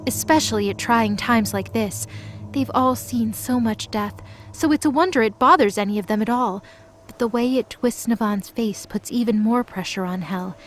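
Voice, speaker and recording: A woman giving scripted, dramatic narration as the storyteller of an audio drama. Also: fairly high pitch.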